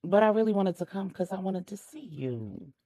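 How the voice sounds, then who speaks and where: A woman's voice: mid-range voice, animated, normal speed, dark tone, no echo. A woman voicing a sassy, bold young college student in love, feisty, demanding and playful, for a story. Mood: insistent, affectionate.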